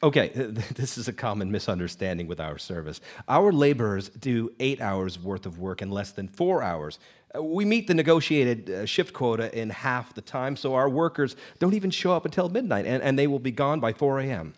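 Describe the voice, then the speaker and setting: An actor playing a put-upon night-shift manager, stammering and exasperated, in a live radio drama. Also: male voice, mid-range voice, big pitch swings, normal speed. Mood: flustered, placating. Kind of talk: live old-time radio drama acting. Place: live stage studio performance.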